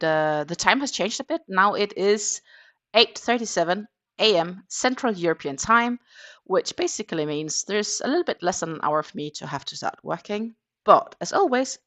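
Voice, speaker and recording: A woman's voice: mid-range voice, a bit bright. A Danish-born woman hosting a casual morning crochet livestream in English, chatting in a rambling way with lots of fillers. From Denmark.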